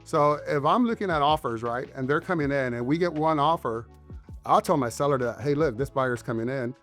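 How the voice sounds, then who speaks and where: A man's voice: mid-range voice, brisk, fairly bright tone. A man with a mid-range voice hosts an easygoing chat, sounding upbeat and enthusiastic as he asks his guest questions. Faint music sits underneath. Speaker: real estate agent, host. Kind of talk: casual interview chat.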